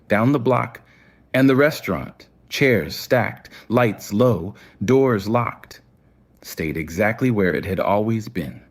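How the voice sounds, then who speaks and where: A man narrating a scripted audio story in a measured, literary storytelling manner. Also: fairly low pitch.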